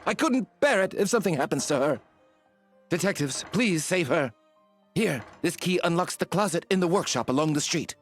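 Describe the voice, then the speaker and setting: A worried lord in a gothic mystery game, slightly high in pitch and very animated. He speaks with melodramatic, earnest urgency, in a voice that is a little husky, over soft music. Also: normal speed. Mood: urgent, pleading. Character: anxious, devoted nobleman fearing for his wife. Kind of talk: theatrical game cutscene acting.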